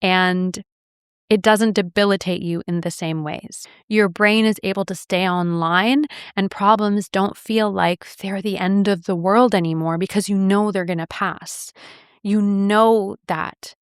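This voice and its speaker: Smooth-voiced woman telling a personal story. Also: medium pitch, fairly even intonation, a little slow, dry recording, clean studio sound. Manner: reflective, earnest, easygoing with light laughs. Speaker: podcast host.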